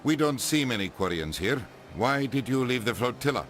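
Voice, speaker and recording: An actor playing an irritable, curt human ambassador in a video game. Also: male voice, medium pitch, animated, normal speed, a bit bright, faint music behind, natural voice. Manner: curt, exasperated.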